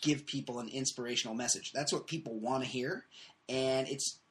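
A man hosting a casual comedy podcast, riffing with opinionated, quick takes. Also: medium pitch, steady tone, fairly bright tone. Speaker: podcast host.

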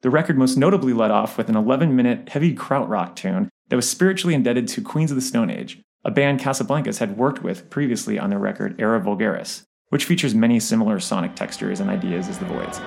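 A man's voice: on the low side, quick pace, creaky, hardly any pauses, darkish tone. A man reading a scripted album review as narration.

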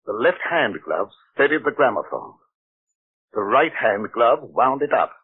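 A man's voice: mid-range voice, sing-song, a little slow, slightly rough. An actor playing an earnest older English village clergyman and stern father, speaking formally and emphatically with a British accent in a 1940s radio drama. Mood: grave, suspenseful. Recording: muffled, tinny old radio broadcast.

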